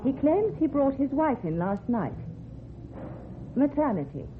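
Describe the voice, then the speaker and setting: Brisk high-voiced receptionist, tinny recording. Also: female voice, big pitch swings. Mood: businesslike. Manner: clipped, polite, matter-of-fact, dismissive. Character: brisk, officious hospital receptionist. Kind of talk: radio drama acting. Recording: muffled, phone-like old radio recording, hissy.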